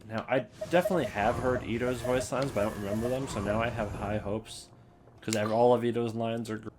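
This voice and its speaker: A man's voice: mid-range voice, steady tone, a little fast, noisy background. A man hosting a casual ranking video with wry, offhand commentary. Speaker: video host.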